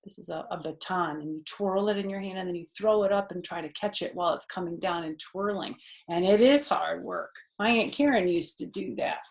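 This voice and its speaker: A woman's voice: lowish voice, brisk, vocal fry, few pauses. An older woman reading a children's storybook aloud expressively, with explanatory asides.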